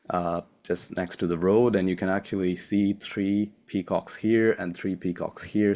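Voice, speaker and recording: A young man from Sri Lanka, a postdoc, giving a friendly, casual seminar talk over a remote call, with many filler pauses. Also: sits low, darkish tone. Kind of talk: academic seminar presentation.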